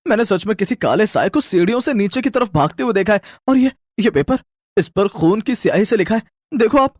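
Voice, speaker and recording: A man's voice: high voice, animated, a little fast, darkish tone, dry recording, studio quality. A man playing a scared, insistent college-age son in a horror story, anxious and stammering. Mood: pleading insistence.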